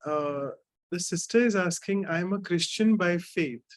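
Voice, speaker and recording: A man hosts a workshop Q&A in a slightly high voice. He relays an audience question politely, with a few "uh" fillers, and keeps the session moving. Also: measured pace. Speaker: moderator. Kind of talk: hosting a workshop Q&A.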